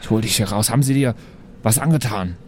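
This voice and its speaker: A man's voice: on the low side, quick pace, darkish tone, a little hiss or hum, lightly acted. Man playing an officious bluffing fake lawyer. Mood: urgent, conspiratorial. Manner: formal, officious. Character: male adventurer posing as a formal, bluffing lawyer.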